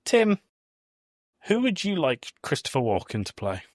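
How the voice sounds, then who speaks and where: A man's voice: on the high side, wildly swooping pitch, unhurried, a little mellow, dry recording, studio quality. A man co-hosting a podcast, chatting casually about a film. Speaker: podcast co-host.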